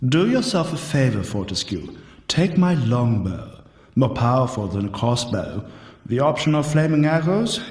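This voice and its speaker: An actor playing a vain, condescending centaur prince in a game, with a haughty drawl. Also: male voice, mid-range voice, sing-song, measured pace, darkish tone. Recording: roomy echo from far off.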